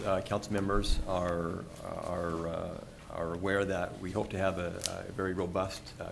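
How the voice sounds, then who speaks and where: A man, a city official, opening a budget session with halting, formal remarks full of repeated words and uhs. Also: sits low, creaky, background noise. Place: council chamber.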